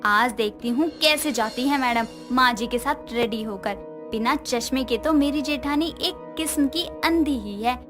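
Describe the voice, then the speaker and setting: High-pitched mocking young sister-in-law acted by a woman. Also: measured pace. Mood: scheming. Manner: teasing, sarcastic. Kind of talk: animated Hindi moral story dialogue. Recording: music bed under the voice.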